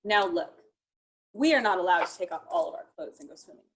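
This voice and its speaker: A woman reading a picture book aloud to young children in an expressive, encouraging way, talking directly to them. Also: lowish voice, a little fast.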